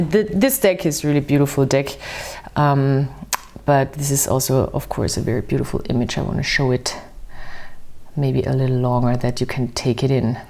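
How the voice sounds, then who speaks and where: A woman whose first language is not English, reading tarot cards to camera in a soft, musing way. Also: a bass voice. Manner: soft, musing, reads slowly from a book. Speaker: tarot reader.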